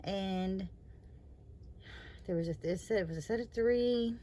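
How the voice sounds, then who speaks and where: Slightly hoarse woman chatting about candles. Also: medium pitch, unhurried, mellow, a little hiss or hum. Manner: rambling, chatty, with fillers and trailing asides. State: slightly hoarse after surgery. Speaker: candle review channel host. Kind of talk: casual product review vlog.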